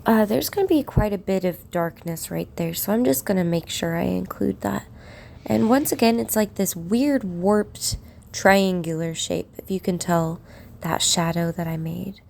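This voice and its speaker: A woman with a croaky, gravelly voice from a cold talks slowly through a drawing lesson. Her tone is dark and mellow, and she narrates as she works. Faint noise sits under the recording. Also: lowish voice. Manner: unhurried, talks while shading. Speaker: art tutorial narrator. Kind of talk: relaxed drawing tutorial voice-over.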